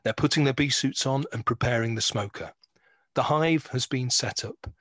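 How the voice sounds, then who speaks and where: A man's voice: mid-range voice, normal speed, a bit bright, dry recording. A man reading stage directions as scripted narration for an audio drama.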